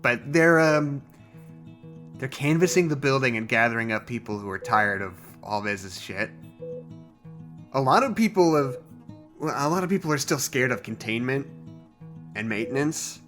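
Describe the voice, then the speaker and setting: An actor playing an earnest, resentful male scientist in an audio drama, confiding in a halting, rambling way. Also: medium pitch, animated, fairly bright tone, faint music behind, lightly acted. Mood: earnest, uneasy. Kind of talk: audio drama monologue, naturalistic.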